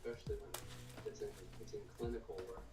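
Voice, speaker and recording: A man chatting in a friendly, slightly halting way while playing a card game online. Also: medium pitch, wildly swooping pitch. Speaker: recruiter and card game opponent. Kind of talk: casual chat. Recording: roomy and distant, over music.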